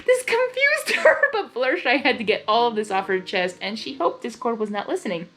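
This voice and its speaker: A woman's voice: fairly high pitch, big pitch swings, brisk, fairly bright tone, faint music behind. A woman hosting and narrating a story reading expressively, with casual asides. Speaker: host and narrator of a blind reading.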